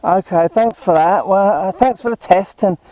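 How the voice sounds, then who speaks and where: A man's voice: high-pitched, normal speed, warm tone, rough recording. A man chatting casually on a handheld two-way radio, polite and often repeating himself. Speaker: CB radio operator testing a handheld while walking. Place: outdoors on a high street and park walk.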